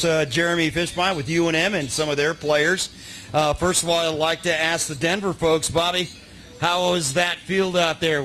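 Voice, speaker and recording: A man's voice: a little high, fairly bright tone. A man hosting a post-game sports interview in a chatty, welcoming way. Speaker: interviewer and host.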